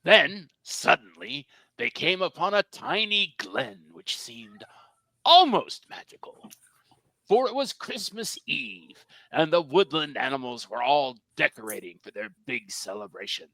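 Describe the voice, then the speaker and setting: A man's voice: fairly high pitch, big pitch swings, a little slow, sharp tone, no echo, poor audio. A man narrating a children's story at a live script reading, with a storyteller's emphasis and wry asides.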